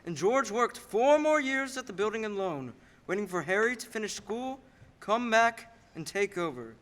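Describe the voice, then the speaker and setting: A man's voice: sits high, sing-song, moderate pace, a bit bright. A man playing the storytelling narrator of a radio play, recorded in an echoey hall. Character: narrator recounting George's life story.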